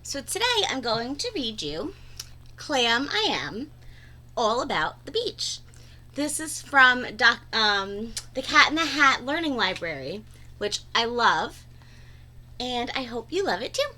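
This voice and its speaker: Bright-voiced woman reading to children, very animated. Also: fairly high pitch, takes it slowly. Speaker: read-aloud storyteller. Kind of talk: children's picture book read-aloud.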